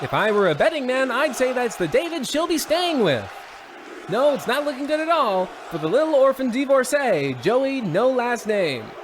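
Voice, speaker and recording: Loud, excitable baseball announcer played by a man. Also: very high voice, moderate pace, a crowd in the background, background noise. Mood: excited, mocking. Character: excitable old-time baseball radio announcer. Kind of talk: mock old-time sports radio commentary.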